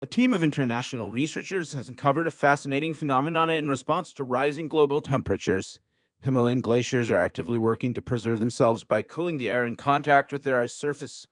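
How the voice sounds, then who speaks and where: A man reading scripted practice passages aloud in a measured, careful manner. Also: medium pitch, no room sound, clean studio sound. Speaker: exam practice reader.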